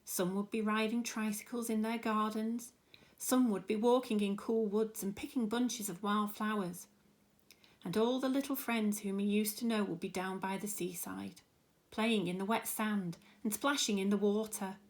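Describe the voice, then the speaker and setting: Woman, expressive storybook narration, teacher reading aloud, mid-pitched voice. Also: normal speed.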